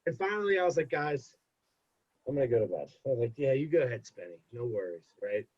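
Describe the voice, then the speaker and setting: Young man, husky congested voice, animated storyteller, dull lo-fi sound. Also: medium pitch, quick pace. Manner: animated storyteller, repeats phrases for emphasis. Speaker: podcast guest. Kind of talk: casual storytelling. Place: home. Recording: dull lo-fi podcast audio.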